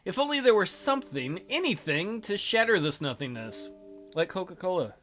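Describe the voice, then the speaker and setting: Animated, bright-voiced man doing a let's play. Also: sits high, normal speed, faint music behind. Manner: goofy, crude humor, theatrical reading. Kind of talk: reading a visual novel aloud with joking commentary.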